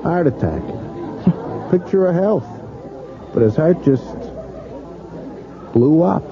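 A chatty, offhand bar gossip with a higher, lively voice. Crowd chatter and music fill the dull lo-fi radio broadcast behind him. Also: male voice, slow pace, noisy background. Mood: gossipy. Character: gossipy bar regular who has seen it all. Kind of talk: 1980s radio drama acting.

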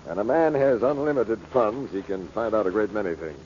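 An actor playing a wealthy, imperious uncle in 1940s radio drama style, smug and formal yet uneasy. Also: male voice, fairly low pitch, a little fast, a little mellow, close to an everyday voice. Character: wealthy, domineering, uneasy uncle under death threats. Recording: muffled, hissy old radio recording.

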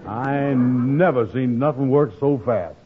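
A man playing a gullible, soft-hearted, grumbling fellow in a 1940s radio comedy, with hesitant, folksy, ungrammatical speech. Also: medium pitch, a little slow, natural voice. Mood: eager, cheerful. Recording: muffled, noisy old radio broadcast.

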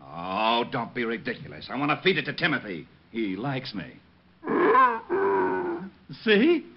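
An actor playing a gruff, exasperated, blustering police lieutenant in an old radio drama. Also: male voice, mid-range voice, sing-song, a little slow. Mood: softening. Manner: blustering, irritable. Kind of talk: 1950s radio comedy-drama acting. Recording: dull, noisy vintage radio recording.

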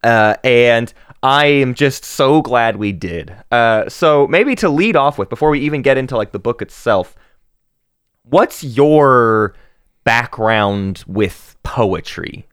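A man in his thirties co-hosting a casual book podcast, talking with animated energy, laughing and often restarting his sentences. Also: medium pitch, takes it slowly, clear, resonant tone, no room sound, clean studio sound. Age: 30s. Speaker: podcast co-host.

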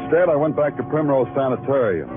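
An actor playing a wry, hard-boiled police detective with terse, sardonic delivery in a 1950s radio crime drama. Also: male voice, medium pitch, expressive, quick pace, music in the background, natural voice. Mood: brooding narration. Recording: dull lo-fi old radio broadcast.

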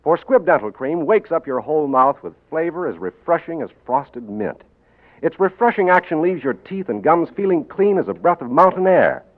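A male radio announcer reading a commercial in a smooth, upbeat selling manner. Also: a little high. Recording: tinny old radio broadcast.

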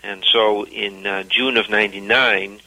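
A middle-aged man with a slight Dutch accent, a company founder answering interview questions in a measured way with many fillers. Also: lowish voice, level tone, pushed and bright, no echo, low-quality recording. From United States. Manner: measured, filler-heavy storytelling.

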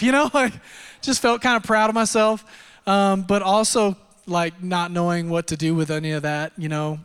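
A man in his thirties from Texas, preaching a sermon and telling his own story in a relaxed, self-deprecating way with lots of fillers. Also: high voice, clear, resonant tone. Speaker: pastor. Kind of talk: sermon with personal testimony. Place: church auditorium. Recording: long hall reverb.

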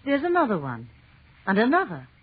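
An actress playing an affectionate, teasing wife in a 1930s radio drama, bantering theatrically. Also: female voice, on the high side, wildly swooping pitch, brisk, slightly rough, full character voice. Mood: excited wonder. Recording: muffled, noisy 1930s radio recording.

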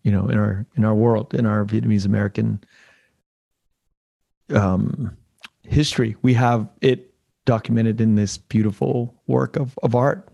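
A man's voice: on the low side, steady tone, a little slow, creaky, long pauses, mellow, no room sound, dull audio. A man hosting an interview, asking long, rambling questions in a casual tone. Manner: rambling, loosely strung questions. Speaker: podcast host.

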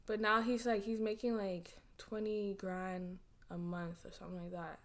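A young woman in her twenties chatting casually as a podcast guest, rambling with lots of fillers and quick giggles. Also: medium pitch, flat delivery. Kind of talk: casual chat.

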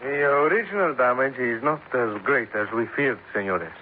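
An actor playing a confident, imperious construction boss with a Spanish accent, speaking formally in a 1950s radio drama. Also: male voice, mid-range voice, lively intonation, normal speed, phone-quality sound, lightly acted. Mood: assured, cold. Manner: formal, assured. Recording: noisy, thin 1950s radio recording.

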